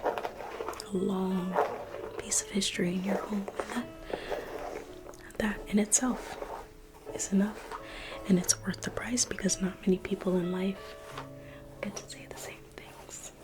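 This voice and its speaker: A woman whispers slowly and intimately, speaking close and soothing as a private museum guide in an ASMR roleplay. Her pitch sits in an ordinary middle range. Gentle instrumental music plays clearly behind her. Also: steady tone, poor audio. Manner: soft whispering, intimate and reassuring.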